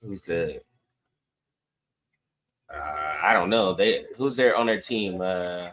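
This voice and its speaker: A man's voice: deep voice, slow, fairly bright tone. A man co-hosting a sports podcast, chatting casually in slangy, emphatic bursts.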